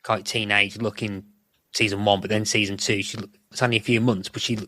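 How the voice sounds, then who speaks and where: A man's voice: on the low side, level tone, fairly quick, pushed and bright, dry recording. A man chatting casually as a podcast guest, explaining things in a rambling way. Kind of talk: casual chat.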